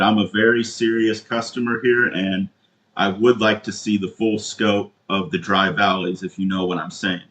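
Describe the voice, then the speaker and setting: A man calling as a customer, asking questions in a halting, earnest and insistent way. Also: on the low side, fairly bright tone. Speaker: caller, prospective customer. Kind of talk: phone inquiry, questioning. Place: indoor room, phone call. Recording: roomy echo on a recorded call.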